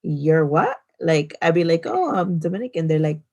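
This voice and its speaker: A woman co-hosting a casual podcast, telling stories in a relaxed way and laughing between points. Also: low voice, normal speed, highs cut off. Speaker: podcast co-host. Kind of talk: casual conversation.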